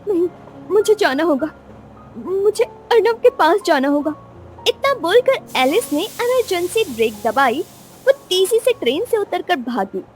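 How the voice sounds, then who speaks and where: A woman's voice: high-pitched, a little mellow. A woman narrating a scripted romance story in a dramatic style. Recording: music under the voice.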